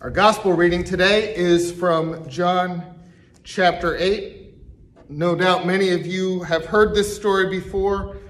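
Deliberate middle-aged pastor reading scripture. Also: male voice, high-pitched, steady tone, a bit bright. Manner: deliberate, slow reading with emphatic pauses. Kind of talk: reading scripture aloud in church. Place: church sanctuary, indoors.

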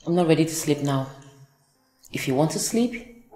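A woman's voice: low voice, expressive, normal speed, mellow. An actress playing a hurt woman who coldly accuses her cheating partner. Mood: bitter. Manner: cold, accusing. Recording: echoey room with faint music.

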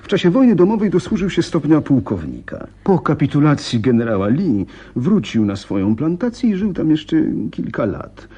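A troubled young gentleman seeking help in a radio mystery. His mellow, husky voice is deferential and earnest, rising and falling expressively as he recounts. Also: on the high side, sing-song, normal speed, hardly any pauses. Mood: earnest recounting. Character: polite, troubled gentleman client with a mysterious story. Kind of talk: radio drama acting.

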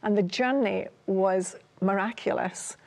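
A woman's voice: medium pitch, unhurried, vocal fry, a little mellow. A woman in her sixties with a Scottish accent, sharing personal testimony as a guest on a studio talk show, in a conversational, hesitant way. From Ayr, Scotland. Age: 60s. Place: TV studio, seated at a table.

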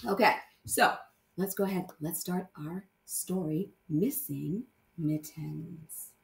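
A woman teaching primary math to young children, reading aloud and explaining deliberately with sing-song emphasis on key words. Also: fairly low pitch, vocal fry, roomy sound, a little hiss or hum.

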